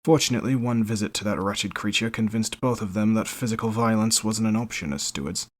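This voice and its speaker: An actor playing a wry veteran knight captain in a fantasy audio drama, storytelling in a conversational way. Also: male voice, fairly low pitch, a little fast, natural voice. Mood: wry, confiding.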